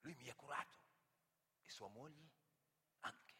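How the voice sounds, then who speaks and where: An actor playing an earnest, wounded migrant worker in an emotive theatrical monologue. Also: male voice, mid-range voice, unhurried, sharp tone, husky, exaggerated voice. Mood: subdued, grave. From Senegal. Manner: emotive, storytelling delivery with repeated phrases. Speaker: stage actor and storyteller. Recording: reverberant hall.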